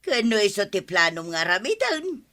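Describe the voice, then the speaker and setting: A woman's voice: medium pitch, huge pitch swings, normal speed, background noise. A woman acting a teasing, scolding village wife in an Ilocano comedy radio drama, with drawling exclamations. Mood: calm nagging.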